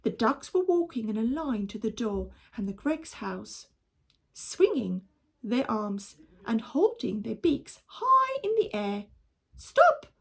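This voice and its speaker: Female storybook reader, teacherly and deliberate, highish voice with very animated intonation. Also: gravelly, husky. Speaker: teacher reading a storybook aloud. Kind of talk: expressive children's story reading.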